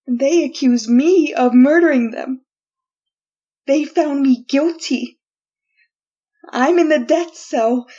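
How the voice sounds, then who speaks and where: High-voiced, haunted condemned woman. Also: mellow, slightly rough, highs cut off, heavily acted. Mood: despairing. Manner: theatrical, slow, deliberate. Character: condemned innocent woman prisoner, desperate, haunted, telling her tale. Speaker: actor in a radio drama. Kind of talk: retro 1940s radio drama acting.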